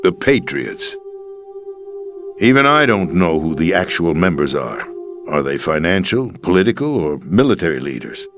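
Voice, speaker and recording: An actor playing a disillusioned, world-weary middle-aged American president in a dramatic video game scene, with an emphatic theatrical delivery. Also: male voice, low voice, very animated, normal speed. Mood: grave, cynical. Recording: game soundtrack music underneath.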